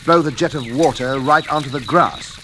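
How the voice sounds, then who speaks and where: A man's voice: fairly high pitch, normal speed, sharp tone. An actor playing a genial middle-aged father and host with a British accent, fussy and placating, in 1940s radio drama. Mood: fussy, pleased. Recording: noisy old-time radio recording.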